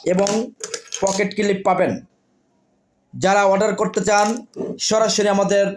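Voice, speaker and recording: A man with a Bangladeshi accent presenting products in a repetitive sales pitch.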